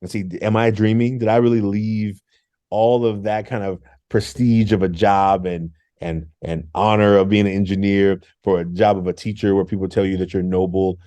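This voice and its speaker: A middle-aged man speaks in a low, mellow voice and tells his stories with lively energy, acting out other people's lines. The sound is dry and close. He is a former engineer turned teacher, talking as an interview guest. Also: moderate pace, no room sound. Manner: lively, acts out quotes with laughs. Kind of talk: animated storytelling interview.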